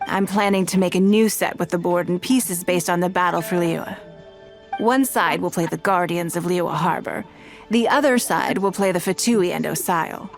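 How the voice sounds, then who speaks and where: A woman's voice: fairly low pitch, normal speed, gravelly, faint music behind. An actress playing a poised, shrewd, wealthy businesswoman in a video game, speaking formally and articulately. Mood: thoughtful, composed.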